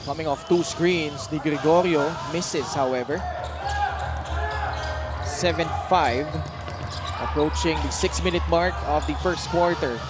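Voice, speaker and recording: A man's voice: fairly high pitch, a little slow, pauses often. A man with a Filipino accent calling live basketball play-by-play, switching between English and Tagalog. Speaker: play-by-play commentator.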